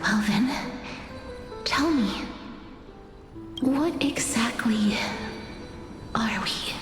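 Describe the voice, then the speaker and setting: A young actress voicing a gentle elder sister resigned to sacrifice in a video game, soft, solemn and measured. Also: female voice, on the high side. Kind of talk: dramatic video game voice acting. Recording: cavernous reverb with music behind.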